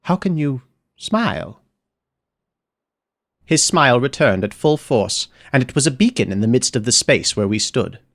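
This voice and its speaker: A man telling a fairy tale in the first person, his pitch sweeping widely as he dramatizes each moment. His storytelling is expressive and theatrical, leaning into the darker turns of the tale. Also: fairly quick, hardly any pauses. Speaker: audiobook narrator.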